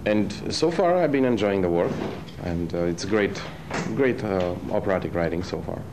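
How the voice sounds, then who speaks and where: A man in his thirties from Romania with a Romanian accent, a professional opera singer answering interview questions hesitantly with many pauses. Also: low-pitched, expressive, noisy background. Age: 30s. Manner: hesitant, many filler pauses, light laughs. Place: opera rehearsal at a music school. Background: loud music from the rehearsal.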